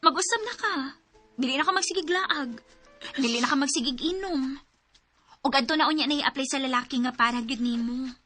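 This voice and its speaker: An actress playing a blunt, nagging but encouraging young best friend in a radio drama, with a Cebuano Filipino accent. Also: female voice, high voice, a little fast, pauses often, over music, close to an everyday voice. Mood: scolding.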